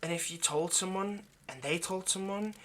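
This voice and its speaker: A man voicing an ageless vampire lover in an intimate audio roleplay, possessive yet remorseful, speaking in a halting, earnest, pleading way. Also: high-pitched, a bit bright. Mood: ominous warning. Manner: halting, earnest, pleading, dropping to near-whisper.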